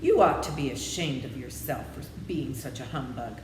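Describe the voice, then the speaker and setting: A woman's voice: a bass voice, animated, moderate pace, echoey room, big-room sound, far from the mic, noisy background. A woman voicing a simple living scarecrow in a storybook, aggrieved, wailing and reproachful.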